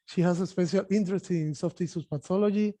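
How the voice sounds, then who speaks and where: Man introducing a speaker, mellow and dry. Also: high voice, steady tone, quick pace, no echo. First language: Spanish. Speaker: session chair.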